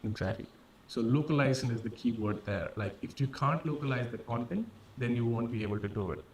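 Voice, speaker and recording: A man from Nepal with a Nepali accent, presenting a startup pitch and answering questions in a halting way, often repeating and restarting phrases. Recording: echoey room, distant mic, faint noise.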